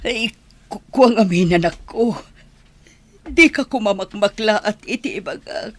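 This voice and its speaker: A woman's voice: medium pitch, normal speed, no room sound, natural voice. An actress with an Ilocano accent playing an anguished mother in a radio drama. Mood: anxious, pleading. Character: anguished mother breaking terrible news to her son. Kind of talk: Filipino radio drama acting.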